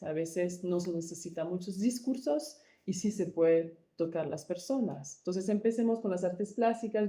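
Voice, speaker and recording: A woman from Congo speaks in a lowish, mellow voice, weighing her words and pausing with soft fillers as she answers. The room is roomy and echoey around her. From Democratic Republic of the Congo. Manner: thoughtful, searching for words with pauses and fillers. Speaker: arts project co-founder and director. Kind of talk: conversational interview answers.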